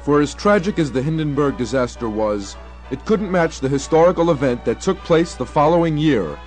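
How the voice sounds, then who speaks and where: A young man reads radio documentary narration formally and deliberately. His voice sits a little high, with faint music behind it. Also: lively intonation, darkish tone. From United States. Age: young adult.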